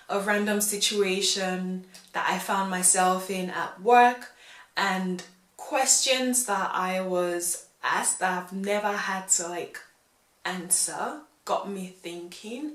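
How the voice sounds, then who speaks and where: A young woman of British and Nigerian background talking straight to camera in a casual vlog, chatty and animated, laughing now and then. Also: mid-range voice, echoey room. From England and Nigeria. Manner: chatty, animated with hand gestures, laughs often. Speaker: vlogger. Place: indoors against a plain grey wall. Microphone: camera in front, head-and-shoulders framing.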